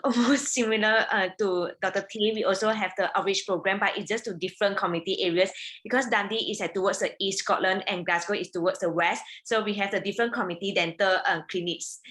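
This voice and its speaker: A young woman, speaking casually and a little haltingly as a guest dentist in a career talk. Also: mid-range voice, bright, forceful tone. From Malaysia. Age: young adult.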